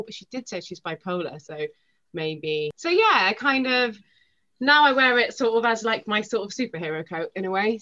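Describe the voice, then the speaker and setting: A woman's voice: lowish voice, pushed and bright, lo-fi sound. A woman from Wales chatting openly and casually as a podcast guest. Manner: chatty, open. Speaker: podcast guest, writer and actor. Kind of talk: candid, casual interview chat.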